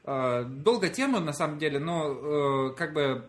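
A man with a light Russian accent, explaining slowly with many fillers and pauses in a casual chat. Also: a little high, animated, a little hiss or hum.

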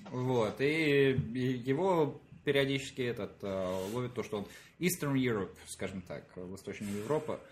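Guy with a faint russian accent, umming his way through, some low noise behind. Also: moderate pace, hardly any pauses. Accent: Russian, light. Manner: hesitant, explaining with many fillers. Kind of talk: casual chat.

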